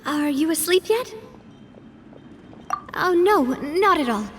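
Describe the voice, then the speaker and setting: A high-voiced, breezy young gang leader. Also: female voice, expressive, slow pace, slight background noise. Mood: gentle, inviting. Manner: breezy, teasing, self-assured. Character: young woman gang leader, upbeat, confident and playful. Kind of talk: animated game voice acting.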